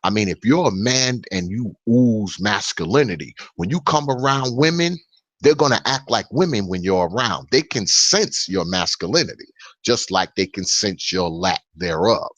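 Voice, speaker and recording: A man's voice: on the low side, animated, moderate pace, fairly bright tone, dry recording. A man speaking as an interview guest, blunt and opinionated, filling his speech with "you know". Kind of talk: casual video interview chat.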